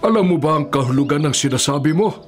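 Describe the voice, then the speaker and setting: A man's voice: fairly high pitch, expressive, fast, soft background music, lightly acted. A man playing a stern, older patriarch father in a Filipino biblical radio drama, grave and admonishing. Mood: stern, indignant. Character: Jacob, stern patriarch father, doting but easily offended.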